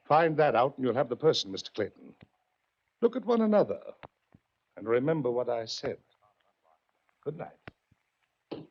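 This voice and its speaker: An actor playing a sly, smug puzzle-master with mocking, precise politeness, in 1940s radio mystery style. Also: male voice, mid-range voice, big pitch swings, a little slow, long pauses. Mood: smug, cryptic. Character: sly, smug male puzzle-master taunting his fellow passengers. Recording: dull old radio recording.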